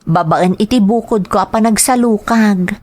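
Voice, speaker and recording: A woman's voice: mid-range voice, normal speed, darkish tone, close to an everyday voice. A woman playing an eager, determined young female graduate in an audio drama, speaking earnestly and expressively. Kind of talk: dramatized family radio-style drama.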